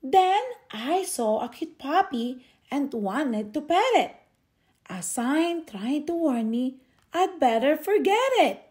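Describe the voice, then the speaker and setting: A woman reading a children's picture book aloud expressively, with theatrical, drawn-out emphasis on key words. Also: sits high, animated, a little mellow. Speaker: storybook reader.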